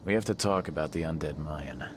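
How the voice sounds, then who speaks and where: An actor playing a weary, skeptical investigator in a video game, with a dry, blunt, probing manner. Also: male voice, rumbling low, fairly quick, background noise. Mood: skeptical, stern. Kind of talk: video game dialogue acting.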